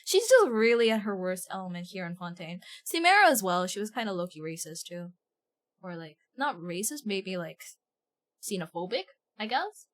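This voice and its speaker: A woman hosting a casual game livestream, chatting in a giggly, excitable, gushing way with plenty of swearing. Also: medium pitch, normal speed. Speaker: livestream host.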